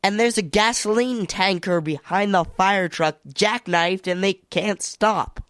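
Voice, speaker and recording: A man's voice: high-pitched, a little slow, fairly bright tone, smooth. A man on a radio comedy panel, joking in casual banter.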